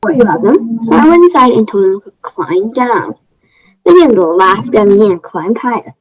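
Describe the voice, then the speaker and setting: A woman's voice: mid-range voice, big pitch swings, moderate pace. A woman playing a prim, aggrieved fairy-tale woman, matter-of-fact and self-justifying, in readers theater. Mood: aggrieved, firm. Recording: dull, lo-fi microphone sound.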